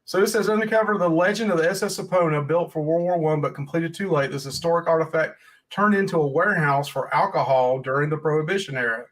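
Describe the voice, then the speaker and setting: A man's voice: on the high side, fast talker, low-quality recording. Folksy man hosting a livestream. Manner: folksy, rambling, joking. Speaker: podcast host. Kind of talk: casual livestream chat.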